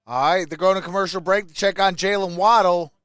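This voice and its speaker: A man's voice: high-pitched, steady tone, fairly quick, fairly bright tone, a little hiss or hum. A man hosting a sports livestream, calling plays casually and chatting with viewers. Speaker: sports livestream host reacting to a game. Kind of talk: casual live play-by-play and chat with viewers.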